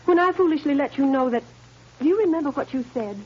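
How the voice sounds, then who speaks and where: An actress playing a worried, pleading companion in a 1930s radio drama. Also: female voice, high voice, animated, quick pace. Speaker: lead's companion. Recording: muffled 1930s radio broadcast.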